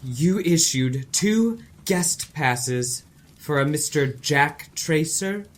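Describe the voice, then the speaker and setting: A man's voice: fairly high pitch, expressive, takes it slowly. A man acting a troubled, intense young student cultist in an audio drama, curt and strained. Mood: accusing. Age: young adult.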